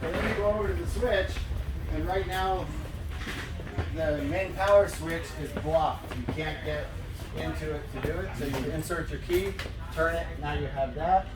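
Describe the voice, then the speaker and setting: An older man guides a tour in a fairly high voice, explaining equipment step by step in an informal way. He is picked up from a distance in a big indoor space, under very loud machinery noise. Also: moderate pace. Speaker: station engineer giving a tour. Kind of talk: informal technical walk-through. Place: large indoor transmitter hall. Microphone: handheld phone camera a few steps away.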